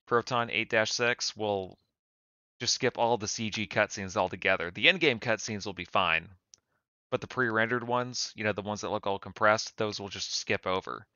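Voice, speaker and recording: A man's voice: fairly low pitch, moderate pace, bright, forceful tone, clean tone, no echo, dull audio. A man talking informally through a casual how-to screen-capture video. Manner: informal, conversational. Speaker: tutorial video maker.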